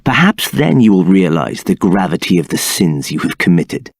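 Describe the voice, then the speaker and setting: An actor playing an arrogant, contemptuous templar captain in a video game, with a haughty, clipped, sneering delivery. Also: male voice, deep voice, big pitch swings, normal speed, vocal fry, slightly rough, exaggerated voice. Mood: interrogating, menacing. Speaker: game character voice.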